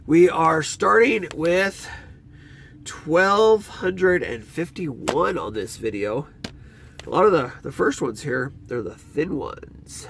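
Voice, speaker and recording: A man's voice: gravelly, a little hiss or hum. Congested man rambling about his movies. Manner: chatty, rambling, lively swings in pitch. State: congested nose. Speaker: movie collection vlogger. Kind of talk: casual collection walkthrough.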